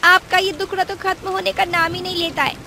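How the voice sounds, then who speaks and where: A woman's voice: extremely high pitch, level tone, fairly quick, creaky, exaggerated voice. A woman playing a resentful thirteen-year-old schoolgirl who complains sharply in a story. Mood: angry, bitter. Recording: loud rain noise behind.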